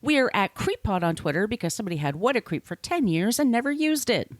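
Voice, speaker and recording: A woman's voice: fairly high pitch, sing-song, fast, no room sound. A woman co-hosting a podcast, chatting informally with a salty tongue. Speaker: podcast co-host. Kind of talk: casual podcast chat.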